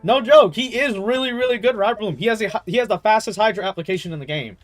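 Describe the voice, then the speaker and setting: A young man chatting casually on a game livestream in an animated, slangy way, swearing freely. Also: high voice, fast, soft background music. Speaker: game streamer.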